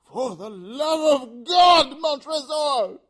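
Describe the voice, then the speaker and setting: A man voicing a drunken, chained nobleman in a gothic audiobook, theatrical and drawn-out, desperate and terrified. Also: extremely high pitch, animated, fairly bright tone, voice pushed up, full character voice. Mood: screaming terror. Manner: theatrical, drawn-out, laughing through his words. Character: chained, drunken nobleman, laughing desperately, then begging in terror.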